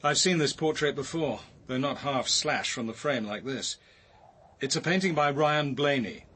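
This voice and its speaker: Composed, articulate detective, radio drama. Forceful, bright tone at medium pitch, exact wording. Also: male voice, breathy edge. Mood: measured, observant. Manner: measured, precise, articulate. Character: composed, precise, thoughtful male detective. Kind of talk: acted British radio drama dialogue.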